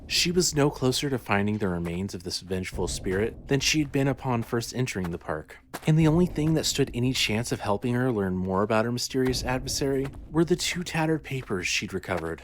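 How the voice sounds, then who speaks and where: A man's voice: mid-range voice, expressive, fairly quick, hardly any pauses, slight background noise. A man reading scripted horror fiction as the narrator of an audio story.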